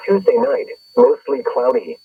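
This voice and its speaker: A man's voice: extremely high pitch, sing-song, measured pace, gravelly, husky, no room sound. A man reading a scripted weather forecast as an announcer, heard through a radio speaker. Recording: tinny band-limited radio broadcast.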